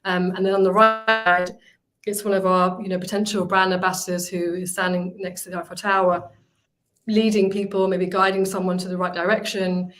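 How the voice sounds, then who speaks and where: A woman's voice: on the low side, flat delivery, moderate pace, fairly bright tone, faint music behind, low-quality recording. Chatty woman on a video call. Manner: chatty, many fillers and restarts. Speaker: recruitment company representative.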